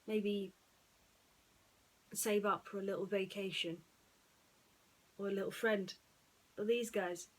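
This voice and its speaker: Female bedtime storyteller, middle register, reflective and unhurried delivery with pauses. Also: medium pitch, steady tone, rough recording. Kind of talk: personal chat and bedtime story reading.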